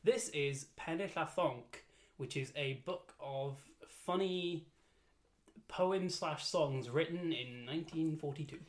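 A man's voice: on the high side, takes it slowly, slight background noise. A man hosting a casual comedy chat. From Wales. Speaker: podcast host.

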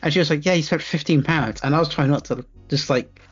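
Husky higher-voiced man telling stories. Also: fast, highs cut off, soft background music. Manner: laid-back storytelling, swears casually. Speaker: podcast co-host. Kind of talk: casual chat.

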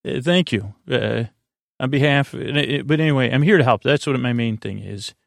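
Male podcast host, crackly fry, dry studio sound, meandering bedtime rambling, hesitant stammers, drifting tangents. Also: mid-range voice, moderate pace, no room sound.

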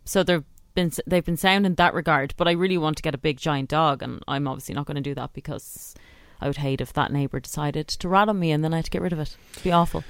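A woman's voice: sits low, fast, hardly any pauses, dry recording, clean studio sound. An Irish woman co-hosting a radio show, chatting in an easygoing way. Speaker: radio co-host. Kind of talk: casual radio chat. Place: radio studio.

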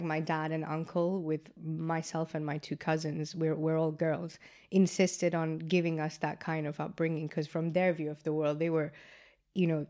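A woman from Kenya, a guest entrepreneur, telling her life story in a casual interview, chatty and lively with lots of "like" fillers. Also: low-pitched. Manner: chatty, animated, many "like" fillers.

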